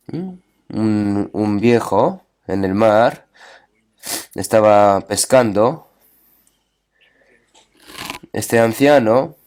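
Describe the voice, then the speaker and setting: A man's voice: fairly low pitch, a little mellow. Man preaching with constant rhetorical check-ins. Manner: checks in with listeners through frequent tag questions; storytelling, rhetorical questions. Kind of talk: sermon with scripture readings.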